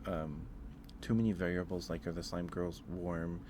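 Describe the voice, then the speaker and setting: A soft-spoken man chatting casually as an ASMR livestream host, in a hesitant, rambling way. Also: low voice, level tone, moderate pace, background noise. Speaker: live streamer and host.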